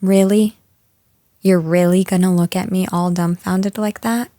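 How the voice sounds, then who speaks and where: A woman's voice: lowish voice, steady tone, warm tone, dry recording, clean studio sound, close to an everyday voice. A woman playing a jealous, possessive, unhinged girlfriend in an intimate roleplay audio drama, taunting the listener with condescending sweetness and menace. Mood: jealous, incensed. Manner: slow, taunting, condescending pet names, slipping into breathy whispers.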